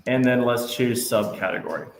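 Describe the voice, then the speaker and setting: A patient male instructor leads a live class through each click of a software tool. His voice carries a roomy echo, with a faint hiss underneath. He reassures students often. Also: mid-range voice, normal speed. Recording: echoey room, faint noise.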